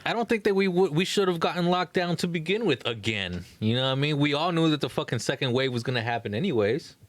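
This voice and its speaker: A man's voice: fairly high pitch, animated, fast. A man chatting casually on a podcast in slangy talk, often swearing and saying bro. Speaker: podcast co-host.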